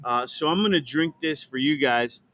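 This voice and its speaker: An easygoing man hosting a podcast in an outdoor alley at night, his voice sitting at an ordinary middle pitch. Faint background hum carries under his relaxed, filler-heavy talk. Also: moderate pace. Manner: easygoing, enthusiastic, many fillers. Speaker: podcast host. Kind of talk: casual chat. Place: outdoor back alley behind a restaurant bar at night.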